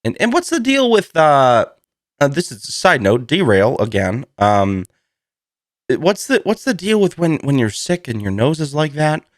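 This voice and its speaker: A man hosting a solo podcast in a casual, rambling, self-deprecating way, slightly hoarse from a lingering cold. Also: fairly high pitch, huge pitch swings, moderate pace, no echo, studio quality. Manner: rambling, self-deprecating, laughs through his words. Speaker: podcast host.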